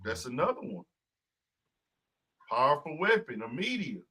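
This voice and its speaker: A man hosting a podcast in casual chat, talking in a profane, emphatic, animated way. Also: fairly high pitch, normal speed, breathy edge. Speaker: podcast host.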